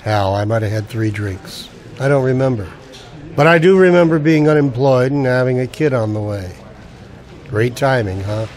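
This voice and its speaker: A man's voice: medium pitch, expressive, normal speed, darkish tone, close to an everyday voice. A man playing a wry, down-on-his-luck noir narrator in a radio drama, with a sardonic, hard-boiled delivery. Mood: wry, self-pitying.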